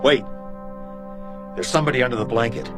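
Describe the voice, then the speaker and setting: A man's voice: mid-range voice, a little fast, over music, lightly acted. An actor playing a practical, skeptical male police sergeant in a radio crime drama. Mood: alert. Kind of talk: detective radio drama acting.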